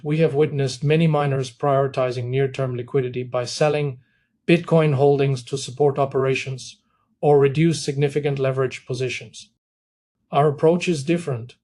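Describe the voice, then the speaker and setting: A man speaking as a company executive, reading a scripted corporate presentation in a formal, measured way. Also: a little high, a little mellow. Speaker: company executive on an earnings call.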